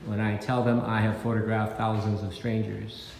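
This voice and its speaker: A man in his sixties with an American Midwestern accent, giving a prepared keynote talk as a photographer in measured, deliberate phrases. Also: on the low side, little pitch movement, gravelly, a little mellow. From Minnesota, United States. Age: 60s. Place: indoor gallery, recorded on location. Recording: echoey gallery room with faint background noise.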